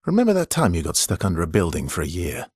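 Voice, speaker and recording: An actor playing a wry, loyal, world-weary swordsman in a video game, with a husky, breathy voice and a dry, teasing manner. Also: low voice, wildly swooping pitch, fast, darkish tone, dry recording, exaggerated voice.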